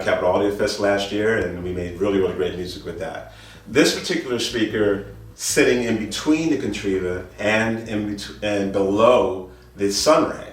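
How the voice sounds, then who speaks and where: A man giving an informal product presentation as a dealer, speaking in a deliberate, halting way with repeated phrases. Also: fairly low pitch. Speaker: audio dealer presenting loudspeakers. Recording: roomy, distant mic, faint music behind.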